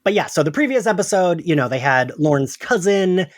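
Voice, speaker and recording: A man co-hosting a casual podcast chat, animated and quick to laugh, with lots of fillers. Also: sits high. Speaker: podcast co-host.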